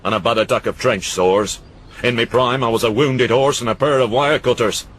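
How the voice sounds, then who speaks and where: A man's voice: mid-range voice, steady tone, normal speed, fairly bright tone. A man playing a scornful old uncle. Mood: ranting. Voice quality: gruff, rambling, scornful. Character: cantankerous old northern uncle, sharp-tongued and mocking.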